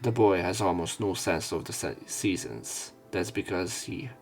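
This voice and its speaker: A man with a very low voice narrates a visual novel for a let's play. He moves between reading the text and casual, sweary asides of his own. Faint music plays behind him. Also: animated, measured pace.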